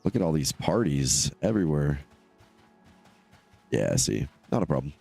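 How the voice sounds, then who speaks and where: A man with a stuffed-up, nasal voice giving casual, low-key, rambling solo commentary as he live streams a game. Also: sing-song, moderate pace, vocal fry, warm tone, breathy edge, soft background music. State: congested from allergies.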